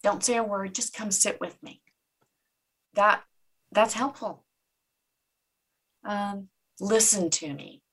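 A woman's voice: medium pitch, slow pace, pauses often, a bit bright, husky. A woman whose first language is Czech, speaking as a guest in an interview with an earnest, reflective manner. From Czech Republic. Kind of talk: conversational interview.

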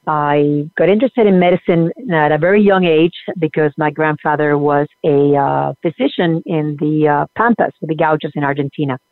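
A woman's voice: low voice, dry recording. Woman neurologist on a tinny phone line. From Puerto Rico. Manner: relaxed, with frequent "uh" pauses. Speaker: guest neurologist. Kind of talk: interview storytelling.

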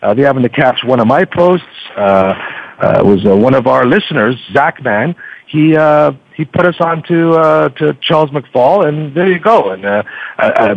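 A man's voice: medium pitch, no room sound. A man speaking as an interview guest in a chatty, hesitant way full of ums and filler phrases, on lo-fi radio audio. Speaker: podcast host being interviewed as a guest. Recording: dull lo-fi radio sound.

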